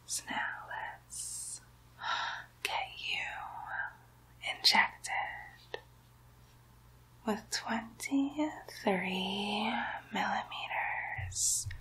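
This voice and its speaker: A mischievous young demon girl played by a woman poses as a nurse in an ASMR roleplay. She whispers in a high, bright voice, coaxing and teasing with playful baby talk, and takes her time over the words. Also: rough recording. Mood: soothing, sinister.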